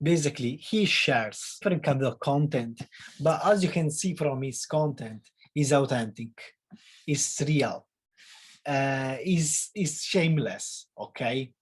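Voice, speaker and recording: A man's voice: mid-range voice, a little slow, breathy edge, dry recording. Italian guy in his 20s hosting a podcast, eager, fumbles for english words, dry sound. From Italy. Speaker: podcast host. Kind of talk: casual podcast chat.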